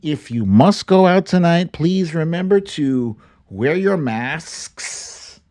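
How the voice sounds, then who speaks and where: A man's voice: a little high, lively intonation, slow, darkish tone. A man from Brooklyn hosting a livestream talk show, chatting informally and slangily straight to his viewers. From Brooklyn, New York. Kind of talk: casual livestream chat with viewers.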